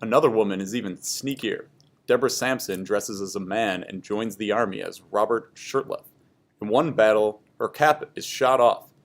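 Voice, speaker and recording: A man's voice: on the low side, normal speed. A man reading scripted educational history narration aloud for a class.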